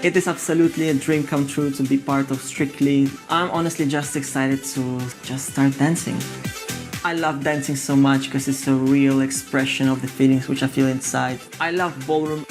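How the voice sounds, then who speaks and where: A man's voice: medium pitch, level tone, moderate pace, music in the background. A man in his twenties, from Ukraine and raised in Italy, talking about dancing in an earnest, enthusiastic way. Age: 20s. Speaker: professional dancer. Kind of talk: guest interview and to-camera intro. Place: TV studio.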